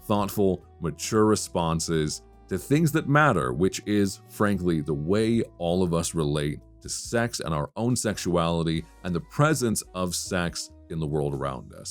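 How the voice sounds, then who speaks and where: Low-voiced man hosting a late-night podcast. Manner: measured, articulate, thoughtful delivery. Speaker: podcast host; voice actor. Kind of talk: late-night radio style chat.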